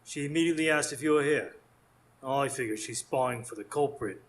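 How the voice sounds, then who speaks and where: A man's voice: mid-range voice, brisk, natural voice. A man playing a brusque, officious police inspector in a radio drama, eager and easily flustered. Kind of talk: live radio drama acting. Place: performance space in front of a live audience.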